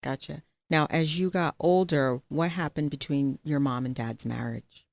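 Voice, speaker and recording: Low-voiced woman asking probing questions. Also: fairly even intonation, normal speed, vocal fry, a little mellow, no room sound. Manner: probing, asks direct follow-up questions. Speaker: podcast host. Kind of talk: interview.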